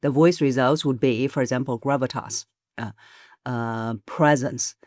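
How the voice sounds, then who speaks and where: Measured woman voice coach, dry close mic. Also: very deep voice, darkish tone, dry recording. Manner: measured, explanatory, frequent hesitations. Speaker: voice coach and author, guest. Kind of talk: podcast interview.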